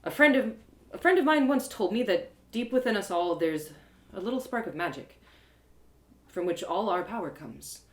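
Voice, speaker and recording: A woman's voice: medium pitch, lively intonation, normal speed, natural voice. A woman playing a plucky but self-doubting young adventurer girl in a fantasy audio drama, musing hesitantly. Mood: hesitant, wistful. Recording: roomy home live reading.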